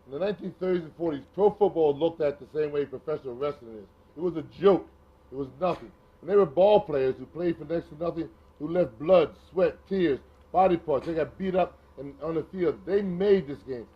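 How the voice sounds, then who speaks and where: Fast, husky-voiced emphatic man. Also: fairly high pitch. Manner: emphatic, says he has a loud voice. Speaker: fellow speaker at press conference. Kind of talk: press conference remarks. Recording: muffled lo-fi recording.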